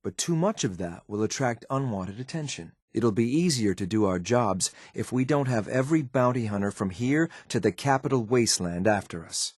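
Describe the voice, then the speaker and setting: An actor playing a cold, loyal synthetic enforcer in a video game, speaking in a clipped, formal, deadpan and disdainful way. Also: male voice, lowish voice, no echo, clean studio sound. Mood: cool, controlled. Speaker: video game companion character. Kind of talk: scripted game voice lines.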